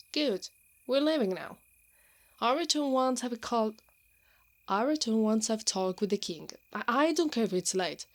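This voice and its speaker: A woman acts out a brave lady knight in an intimate ASMR roleplay. She is brash, protective and quick to exasperation, with a mid-pitched voice. Her defiance tends to trip into flustered stammering that betrays a hidden soft spot. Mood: possessive, firm.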